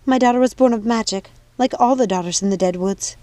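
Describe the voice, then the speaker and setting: A woman's voice: fairly high pitch, fairly quick, mellow, natural voice. A woman voicing an impassioned young witch mother in an audiobook, defiant and pleading as she protects her child. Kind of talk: audiobook character dialogue.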